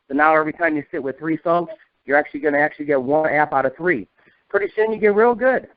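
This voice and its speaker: A middle-aged American man coaches a sales team over a thin, narrow telephone line. His voice sits a little high for a man, and the sound is dry. He is a folksy fast talker with a motivational, trainer's delivery. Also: no echo. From northern United States. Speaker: sales agency leader and trainer. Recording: narrow, tinny conference-call phone line.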